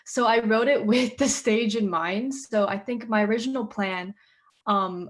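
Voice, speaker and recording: Teen girl explaining her play, lots of ums, over a video call. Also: medium pitch. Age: teens. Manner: thoughtful, earnest, many ums. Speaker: playwright. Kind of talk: casual panel discussion.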